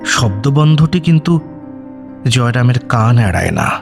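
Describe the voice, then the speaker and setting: A man's voice: medium pitch, very animated, a little slow, creaky, over music. A man reading dramatic scripted narration as the storyteller of an audio drama.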